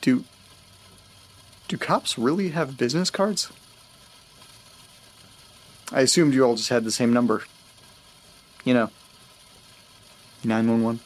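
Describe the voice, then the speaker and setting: A man's voice: medium pitch, slow, pauses often. A man playing a tense, stammering young man. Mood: wry. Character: anxious young man searching for his dog, Nate. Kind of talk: audio drama acting.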